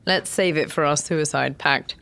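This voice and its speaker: A woman's voice: deep voice, moderate pace, creaky, a bit bright, smooth. A woman playing a wry, easily exasperated crime writer in an audio drama, often muttering sardonically.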